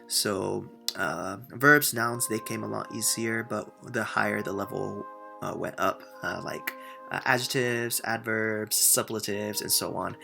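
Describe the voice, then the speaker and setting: A man's voice: fairly low pitch, fairly even intonation, a little slow, gravelly, over music. A young man from Japan whose first language is Japanese, a university student answering interview questions in an earnest way with many filler sounds. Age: young adult.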